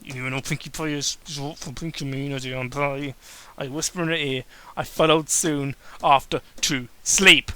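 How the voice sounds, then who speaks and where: A man's voice: mid-range voice, steady tone, moderate pace, sharp tone, smooth. A man doing a mocking dramatic reading, breaking into exasperated, sarcastic, sweary asides. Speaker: amateur reader and commentator.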